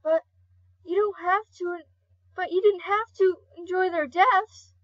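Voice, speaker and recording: Woman voicing high, gentle young skeleton guardian boy. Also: fairly even intonation, measured pace. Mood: hurt, reproachful. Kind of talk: amateur comic dub acting. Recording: dull lo-fi home recording.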